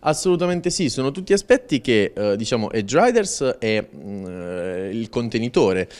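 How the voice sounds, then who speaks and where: A man's voice: mid-range voice, very animated, moderate pace, darkish tone. A man in his thirties answering interview questions enthusiastically into a handheld mic. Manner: enthusiastic, emphatic. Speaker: interviewee, community member.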